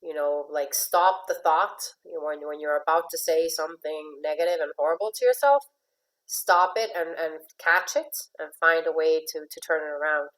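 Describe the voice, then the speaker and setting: Woman, low voice, from Venezuela, relationship coach, chatty, light laughs. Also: normal speed, a bit bright, poor audio. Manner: chatty, repeats words, laughs lightly between phrases. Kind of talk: motivational talk to a group.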